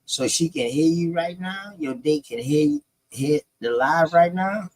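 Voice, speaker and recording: A man's voice: fairly high pitch, sing-song, measured pace, a little mellow, rough recording. A man hosting a casual live panel chat, slangy and jokey, teasing and often repeating himself. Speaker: host of an open live panel.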